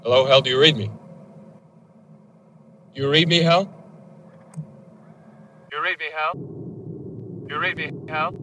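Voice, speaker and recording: A man's voice: fairly high pitch, slow, pauses often, a little hiss or hum. An actor playing a male astronaut calling a ship computer over the radio, repeating urgent demands. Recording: film clip with loud music.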